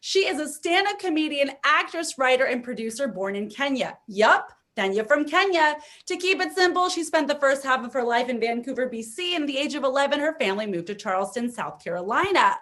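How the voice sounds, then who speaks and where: A woman's voice: high voice, lively intonation, brisk, hardly any pauses, fairly bright tone. A woman hosting a comedy show, introducing acts in an informal, enthusiastic way. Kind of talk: upbeat emcee introductions. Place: online video event.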